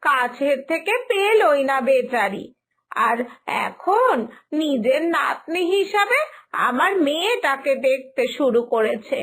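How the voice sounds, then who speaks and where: A woman voicing a fretful, soft-hearted young village mother in an animated moral story, polite and anxious, with an expressive, deliberate delivery. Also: sits high, exaggerated voice. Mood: pitying, anxious.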